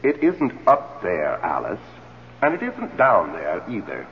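An actor playing the urbane ghost of a late husband in a radio drama, theatrical, wry and articulate. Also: male voice, sits low, wildly swooping pitch, measured pace, full character voice. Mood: impatient, wistful. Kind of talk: old-time radio drama acting. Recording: dull, noisy old radio broadcast.

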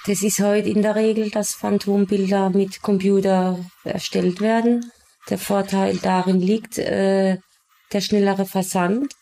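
A woman's voice: medium pitch, level tone, measured pace, mellow. A woman from Germany, a police sketch artist, explaining her work in an interview.

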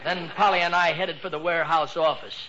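A high-voiced, melodramatic radio teen. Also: male voice, steady tone, a little fast, pushed and bright. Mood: earnest. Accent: American. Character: excitable, melodramatic teenage boy telling his adventure. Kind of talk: 1940s radio comedy acting. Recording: noisy, dull 1940s radio recording.

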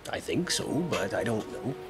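A man's voice: lowish voice, animated, moderate pace. A man chatting casually as a player in a tabletop role-playing game. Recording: clear background noise.

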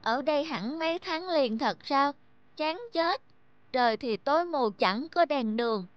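A woman voicing a stubborn city boy in an animated folk tale, with a plaintive, childlike way of talking. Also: high-pitched, a little slow, dry recording, highs cut off. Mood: bored, whiny. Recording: music under the voice.

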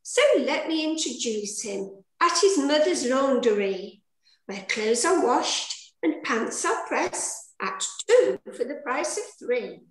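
A woman's voice: high voice, animated, takes it slowly. A middle-aged woman giving scripted storytelling narration for a pantomime over a video call. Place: indoor room. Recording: roomy, echoey video call.

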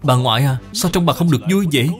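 A man's voice: mid-range voice, animated, fast talker, voice pushed up, natural voice. A man voicing a troubled, remorseful young man in a cartoon, anxious, questioning and pleading. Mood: worried. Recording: music behind the voice.

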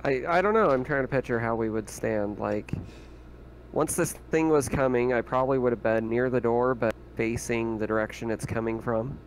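A man's voice: mid-range voice, normal speed, a little hiss or hum, low-quality recording. A man playing a tabletop roleplaying game, chatting casually.

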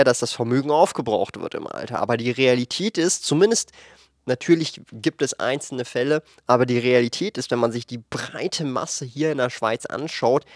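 A man's voice: medium pitch, dry recording. Young man, dry handheld-mic commentary. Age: 20s. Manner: animated, frank, thinks aloud with frequent fillers and restarts. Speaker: finance YouTuber. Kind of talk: casual explanatory commentary to camera. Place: indoor studio room with shelves of collectibles. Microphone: handheld microphone.

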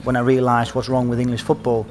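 Icelandic man with a flat, halting voice. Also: mid-range voice, a little hiss or hum. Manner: hesitant, many fillers and restarts. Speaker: panelist, former footballer. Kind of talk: conference panel talk. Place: large conference stage. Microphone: headset mic.

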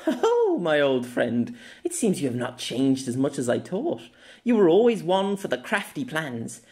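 A man voicing a playful, teasing young noblewoman swordswoman in a raised, lilting voice. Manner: bright, teasing, high lilt.

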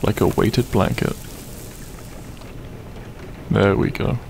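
Gravelly, grumpy-soft half-dragon roommate, played by a man. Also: on the low side, fairly even intonation, slow pace, a little hiss or hum. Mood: tender, sleepy. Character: grumpy but tender young male half-dragon roommate. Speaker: roleplay voice actor. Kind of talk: intimate ASMR audio roleplay, comfort scene.

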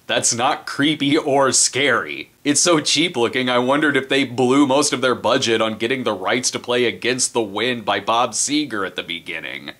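A man in his thirties hosting a comedic movie review, sarcastic and animated, slipping into mock voices for quoted reactions, recorded in a small indoor room. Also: fairly low pitch. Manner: sarcastic, animated, mimics characters in asides. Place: small indoor room in front of a video shelf.

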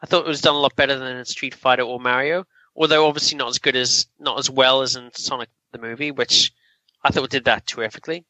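A man's voice: medium pitch, steady tone, fast, bright, forceful tone, no echo, low-quality recording. A man talking casually as a co-host on a film review podcast.

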